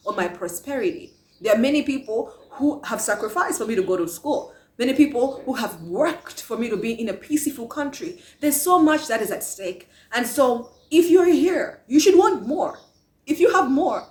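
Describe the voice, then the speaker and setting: A woman's voice: a little high, a little mellow. A woman from Malawi giving a passionate motivational talk to camera, asking many rhetorical questions. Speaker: vlogger. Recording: echoey room.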